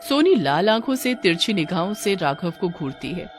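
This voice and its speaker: Lively storyteller with expressive, swooping intonation. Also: medium pitch, moderate pace, soft background music. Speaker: narrator. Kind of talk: scripted dramatic horror-story narration.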